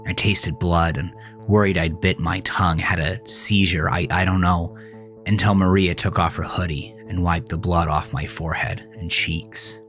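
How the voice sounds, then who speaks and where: Slow, brooding man acting a haunted horror narrator. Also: deep voice, creaky, fairly bright tone, heavily acted. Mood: haunted, subdued. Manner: intense, brooding, deliberate, profane. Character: haunted, rage-filled man retelling a teenage horror. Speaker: narrator of a horror audio drama. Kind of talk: dramatic first-person horror narration. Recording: music bed under the voice.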